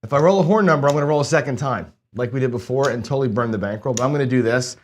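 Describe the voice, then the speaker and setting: A man's voice: medium pitch, rapid-fire. A man hosting a casual craps session, chatting to viewers with frequent restarts as he plays. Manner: chatty, self-talking, stammering restarts. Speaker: casino gaming host. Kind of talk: casual chat while playing craps.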